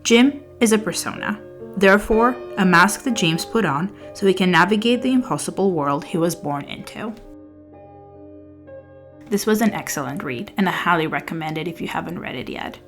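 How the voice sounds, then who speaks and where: A woman's voice: lowish voice, lively intonation, moderate pace, a bit bright, faint music behind. A woman whose first language is Albanian, talking casually through book reviews with some fillers and restarts, partly reading out summaries. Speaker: book vlogger.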